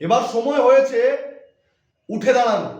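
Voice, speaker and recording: Man acting very high-voiced fiery politician, echoing. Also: moderate pace, darkish tone, dull audio. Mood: defiant, rousing. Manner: declamatory, oratorical, emphatic phrase-by-phrase delivery. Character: fiery opposition politician rallying wronged voters, righteous and indignant. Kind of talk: theatrical political speech monologue. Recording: very echoey, reverberant room sound.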